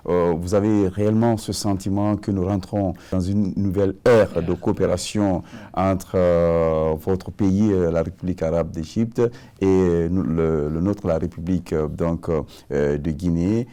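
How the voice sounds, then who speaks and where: Deep-voiced formal male interviewer. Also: steady tone, mellow. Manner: measured, formal questioning. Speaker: TV interviewer, host.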